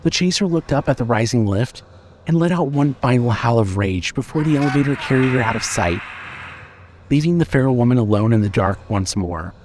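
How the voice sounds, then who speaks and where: A man reading scripted story narration in a measured, expressive, dramatic way. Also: mid-range voice, slight background noise.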